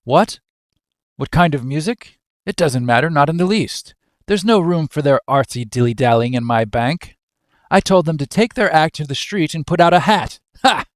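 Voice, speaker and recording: A man's voice: fairly high pitch, animated, moderate pace, no echo, studio quality, voice pushed up, lightly acted. A man playing a pompous, stern and scornful banker father who blusters haughtily in old-fashioned phrases.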